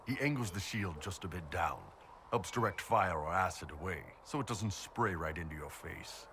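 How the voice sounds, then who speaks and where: A man's voice: low voice, expressive, normal speed, sharp tone, slight background noise, heavily acted. A man playing a huge horned mercenary captain in a video game, blunt, shrewd and easygoing, speaking colloquially. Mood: approving, matter-of-fact. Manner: laid-back, blunt, colloquial.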